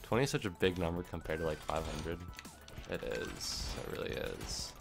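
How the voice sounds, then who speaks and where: A young man streaming a game from home, his voice hoarse and gravelly from screaming, rambling and swearing as he plays. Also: sits low, normal speed, music in the background. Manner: rambling, swears when frustrated. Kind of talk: live gameplay stream chat. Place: home room.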